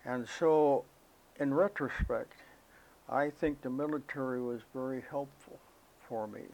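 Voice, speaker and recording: An elderly American man recalling his life as a veteran in an interview, speaking in a halting, reflective, unhurried way. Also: medium pitch, a little mellow, poor audio. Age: elderly, 80s or older. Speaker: interviewee, war veteran recalling military service. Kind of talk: oral history interview, personal recollection.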